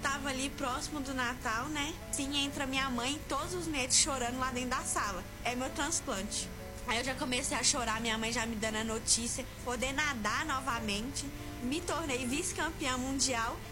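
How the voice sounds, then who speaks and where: A woman's voice: high-pitched, normal speed, music in the background, low-quality recording. A young woman from Belo Horizonte telling her own story in a heartfelt, plain way for a radio ad. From Belo Horizonte, Brazil. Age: young adult. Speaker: testimonial speaker. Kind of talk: personal testimony in an ad.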